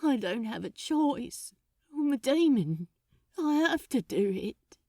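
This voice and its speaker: A woman's voice: high voice, big pitch swings, slow, dark tone, no room sound, studio quality, voice pushed up, exaggerated voice. A woman voicing an anguished male demon, despairing and defiant, with a distraught, pleading delivery in a dramatic audiobook. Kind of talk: dramatic audiobook character acting.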